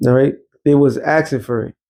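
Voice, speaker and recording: A man's voice: mid-range voice, steady tone, brisk, creaky, warm tone. A man rants in a blunt, profane street-preacher style. He switches between loose commentary and reading scripture aloud, in an ordinary mid-range voice.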